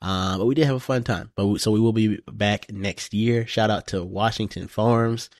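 A man's voice: on the low side, steady tone, normal speed, a little mellow, dry recording, studio quality. A man co-hosting a podcast, telling stories in a laid-back casual chat full of "bro" and "you know". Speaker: podcast co-host.

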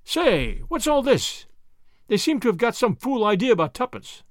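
A man voicing a brash, excitable young American in an audiobook, with slangy, blustering exclamations and an American accent. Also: extremely high pitch, moderate pace, no echo, studio quality, pitched above the performer's own voice. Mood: alarmed. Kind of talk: audiobook character voice.

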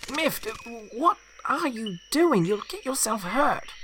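A man's voice: very high voice, big pitch swings, slow pace, sharp tone, slight background noise, pitched above the performer's own voice, heavily acted. A man voicing a fretful little flying creature with a twittering voice in a storybook tale. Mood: worried scolding.

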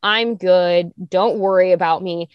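A young woman co-hosting a talk show, speaking candidly with vocal fry, lots of fillers, and sharp jumps up in pitch when she makes a point. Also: measured pace, no room sound, highs cut off. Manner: animated, restarts and fillers, rises high when emphatic. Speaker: podcast co-host. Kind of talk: candid conversational chat.

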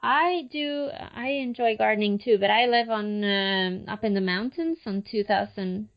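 A woman's voice: medium pitch, unhurried, dull audio. A woman hosting a podcast, interviewing a guest in a friendly, chatty way. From United States. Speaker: podcast host.

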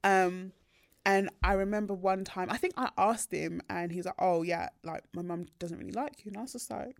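A woman's voice: medium pitch, normal speed, vocal fry, dry recording. Candid young British woman, dry mic. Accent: British English. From Nigeria heritage, raised in Britain. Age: young adult. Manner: candid, animated storytelling. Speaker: podcast co-host. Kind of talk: casual podcast chat.

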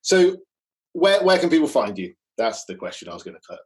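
A man's voice: a little high, a little fast. Guy hosting a podcast, laid back, trails off and restarts a lot. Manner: relaxed, hesitant, brief affirmations. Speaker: podcast host. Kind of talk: casual interview chat.

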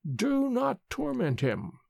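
A man voicing an ancient, sharp-tongued old nurse woman, speaking slowly in a high, quavering voice. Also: wildly swooping pitch, gravelly, no echo, studio quality, full character voice. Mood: stern.

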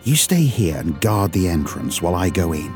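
A woman's voice: very deep voice, very animated, normal speed, a little mellow, faint music behind, pitched below the performer's own voice. A woman voicing an honest, brave young village man who speaks earnestly. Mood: determined.

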